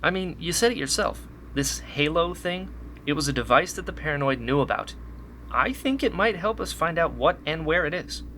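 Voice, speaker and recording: Radio drama: caring, impassioned male rebel, played by a man, higher-pitched sharp voice, slight ambient noise. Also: expressive, moderate pace. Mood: concerned. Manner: earnest, pleading, impassioned. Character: loyal, emotional rebel man, caring team medic. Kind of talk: sci-fi radio drama acting.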